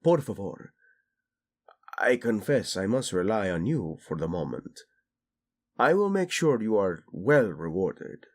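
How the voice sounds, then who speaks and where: Courtly prince, male voice actor: slow, husky, imperious yet teasing, romantic audio drama over music. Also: fairly low pitch, big pitch swings, exaggerated voice. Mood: courteous, measured. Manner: formal, deliberate speech sprinkled with Spanish. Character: haughty, teasing Spanish-speaking fantasy prince, courtly and imperious. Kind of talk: scripted romantic audio roleplay.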